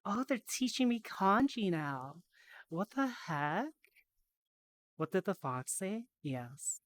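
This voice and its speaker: A woman live-streaming in a VR headset, chatting casually and reading out phrases. She sounds tired and a little hoarse, like she is losing her voice. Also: on the low side, very animated. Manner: chatty, reads aloud slowly, laughs easily. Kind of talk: casual live-stream chat while learning Japanese. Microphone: VR headset mic.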